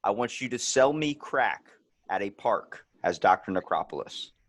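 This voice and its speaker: A man's voice: fairly low pitch, animated, normal speed, no room sound. A man with a Baltimore accent hosting a podcast, telling loose, profane stories in casual chat. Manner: loose, profane storytelling with bursts of laughter. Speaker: podcast host.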